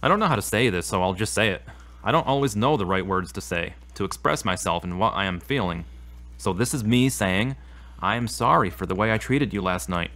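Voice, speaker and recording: Low-voiced remorseful man, played by an actor. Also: lively intonation, fairly quick, fairly bright tone. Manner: earnest, confessional. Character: remorseful, troubled man struggling with his anger. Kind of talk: audio drama acting.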